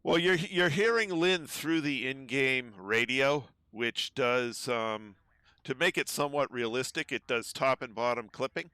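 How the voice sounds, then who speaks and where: A man's voice: a little slow, bright, forceful tone, smooth, no echo. A man, chatting casually while streaming a game, full of ums. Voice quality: relatively low voice. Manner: easygoing, chatty, many ums. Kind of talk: casual gameplay livestream chat. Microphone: desk microphone at home.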